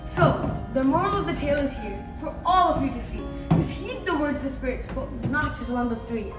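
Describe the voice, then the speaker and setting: A woman's voice: high voice, animated, moderate pace. A woman playing the narrator of a stage pantomime, telling the story in rhyming verse. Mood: advising. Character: pantomime storyteller giving the moral. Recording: distant echoey stage, loud music.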